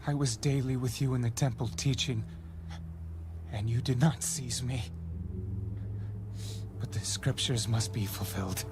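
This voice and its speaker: Actor as grave holy teacher in an audio Bible drama: unhurried, solemn, male, with prominent music underneath. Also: mid-range voice, fairly even intonation, lightly acted. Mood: solemn challenge. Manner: measured, solemn delivery.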